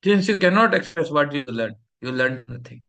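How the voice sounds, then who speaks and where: A man's voice: a little high, expressive, normal speed, gravelly, fairly bright tone. A man with an Indian English accent giving an emphatic classroom lecture, sometimes scolding the students.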